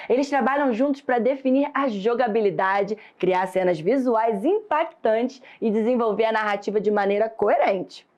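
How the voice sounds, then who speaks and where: Brazilian female instructor, fairly high voice, measured and didactic delivery of scripted lesson narration. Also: darkish tone, muffled recording. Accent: Brazilian Portuguese.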